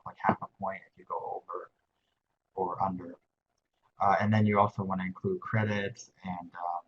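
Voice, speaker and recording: A quiet-voiced young man gives a screen-share tutorial with a lowish voice and frequent hesitations. The sound comes through a dull, muffled webcam mic, with a faint hiss underneath. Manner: soft-spoken, halting with many uh fillers. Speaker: teaching assistant. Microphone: webcam microphone.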